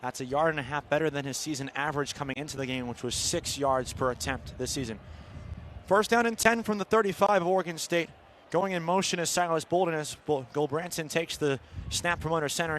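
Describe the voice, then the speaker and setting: A man with a fairly high voice and an American accent delivers live football play-by-play as a radio commentator. Some stadium noise sits behind him. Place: football stadium broadcast position. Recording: outdoor stadium background noise.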